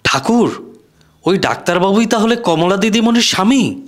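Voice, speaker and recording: Man as curious follower, high-pitched. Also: lively intonation, normal speed. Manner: inquisitive, respectful. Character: curious, deferential young follower questioning the poet. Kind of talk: Bengali audio drama acting.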